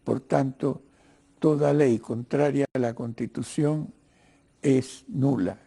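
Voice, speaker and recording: Older cuban guy with argentine accent, real slow and creaky, halting professor type talking politics in an interview. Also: mid-range voice, fairly even intonation, mellow. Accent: Cuban-Argentine Spanish. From Cuba. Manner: halting, professorial, repeats words while searching for the next. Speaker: interview guest, writer and lawyer. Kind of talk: political discussion in a broadcast interview.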